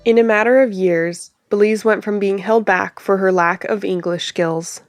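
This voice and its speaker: A woman's voice: medium pitch, fairly even intonation, moderate pace, soft background music. A woman reporter reading a scripted narrative story.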